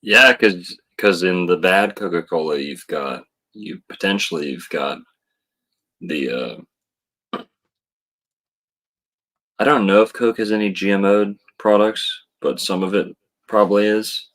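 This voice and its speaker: A man on a casual podcast, talking in a slow, rambling way with many fillers and reading out viewer donations. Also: low voice, fairly bright tone. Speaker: podcast host reading super chats.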